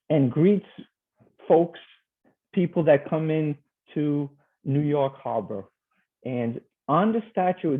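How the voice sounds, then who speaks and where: A man from Portugal, a teacher presenting slides over a video call in a friendly, hesitant way with many fillers. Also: a little high. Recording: tinny video call line.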